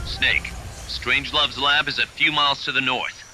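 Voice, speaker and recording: A man's voice: mid-range voice, fairly even intonation, normal speed, heavy background noise, close to an everyday voice. An actor playing a serious, watchful male military adviser in a video game, giving urgent briefings. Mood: urgent warning.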